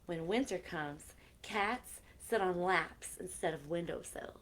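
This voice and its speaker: A woman's voice: fairly low pitch, unhurried, fairly bright tone. A woman hosting a children's library story time, reading picture books aloud expressively with chatty asides, on a noisy live-stream recording.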